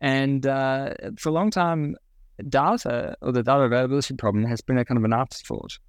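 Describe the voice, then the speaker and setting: A young man from New Zealand, with the accent to match, walks through technical ideas in an easygoing explanatory way. He pauses on plenty of ums and restarts his sentences. His mid-pitched voice is recorded close and dry. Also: smooth, dry recording. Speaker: guest, product officer. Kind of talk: podcast interview.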